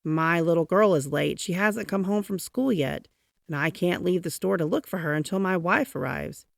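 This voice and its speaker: An earnest, anxious grocer and father from a children's storybook, voiced by a woman. His voice is lowish with a little creak. It sounds close and dry. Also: normal speed, dry recording. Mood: worried. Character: worried male grocer, a young father. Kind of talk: storybook character reading.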